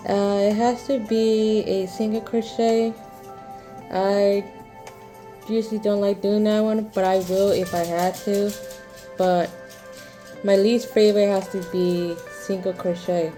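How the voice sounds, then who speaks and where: A woman in her twenties hosting a casual crochet Q&A vlog, talking in a halting, rambling way with lots of fillers and restarts. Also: mid-range voice, flat delivery, dark tone, soft background music. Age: 20s. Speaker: crochet vlogger.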